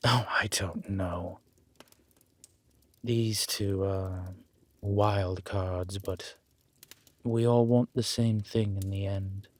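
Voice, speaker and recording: A man playing an urbane, centuries-old vampire in an audio roleplay, with formal, measured phrasing and dry condescension. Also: low voice, fairly even intonation, heavily acted. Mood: composed, dryly menacing. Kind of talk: scripted audio roleplay drama.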